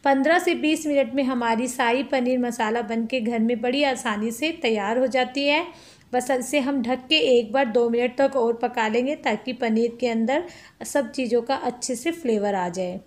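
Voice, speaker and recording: A woman's voice: fairly high pitch, moderate pace. A woman hosting a cooking channel, chatting step by step through a recipe in a home kitchen and calling her viewers friends.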